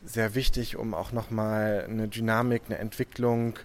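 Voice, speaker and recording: Young german guy artist, lots of umms, lowish voice, faint room noise. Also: flat delivery, a little slow. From Hamburg, Germany. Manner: hesitant, many filler sounds. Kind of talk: interview answers.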